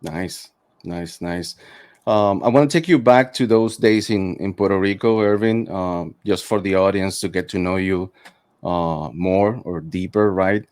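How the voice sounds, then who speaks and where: Male podcast host, middle-aged, slightly low pitch, dry recording, conversational interviewing. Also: unhurried, darkish tone, no room sound.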